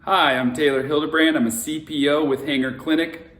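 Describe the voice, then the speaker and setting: Man with a higher voice, echoey room. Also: steady tone, brisk, fairly bright tone. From Billings, Montana. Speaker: prosthetist and orthotist. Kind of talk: brief self-introduction.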